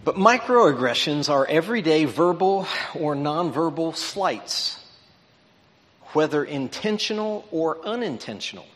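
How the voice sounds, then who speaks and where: Man, preacher, higher voice, conversational, explaining step by step, long auditorium reverb. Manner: conversational, explanatory, checks in with listeners. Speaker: preacher teaching a lesson. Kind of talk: sermon lesson. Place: large auditorium.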